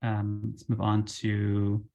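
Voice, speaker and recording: A man runs a formal meeting, calling up speakers one by one. His voice is on the low side with a heavy gravelly fry. He keeps to brisk, procedural phrasing. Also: monotone, dark tone, muffled recording.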